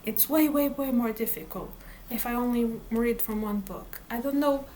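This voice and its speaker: A woman's voice: a little high, moderate pace, mellow, a little hiss or hum, rough recording. A woman whose first language is Portuguese, chatting casually to camera about her reading plans, hesitant, with many fillers and restarts. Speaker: book vlogger.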